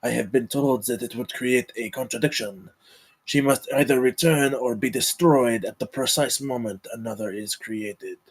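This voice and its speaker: A commanding, courtly count acted by a man in a dramatic table read. His voice is gravelly and husky, and he speaks with formal, measured solemnity. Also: mid-range voice, poor audio. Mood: stern.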